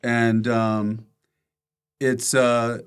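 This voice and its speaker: A man hosting a casual conversational interview as a comedian, asking halting, trailing questions and giving brief agreeing replies. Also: mid-range voice, level tone, clear, resonant tone. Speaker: podcast host, comedian.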